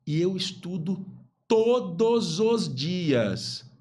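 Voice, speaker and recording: A man's voice: sits high, expressive, extremely slow, darkish tone. A man in his fifties, a guest physician on a podcast, speaking emphatically and repeating key phrases. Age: 50s. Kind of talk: podcast interview talk.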